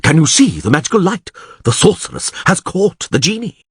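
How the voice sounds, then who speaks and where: A male reader acts out a know-it-all priest from a fantasy tale. He is pedantic and emphatic, with widely swinging pitch on a dry, close recording. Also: very animated, moderate pace, husky, dry recording, heavily acted. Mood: excited.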